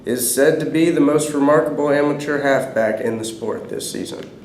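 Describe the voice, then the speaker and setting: A man's voice: medium pitch, moderate pace, a little mellow. A teenage male reading scripted sports news as a student presenter, recorded in an echoey room with noise. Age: teens.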